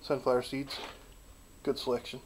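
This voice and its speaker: A man giving a relaxed, folksy walk-through of a small backyard wooden workshop, filming on a handheld camera. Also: mid-range voice, normal speed, slight background noise. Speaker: channel host filming a shop tour. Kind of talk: casual narrated shop tour.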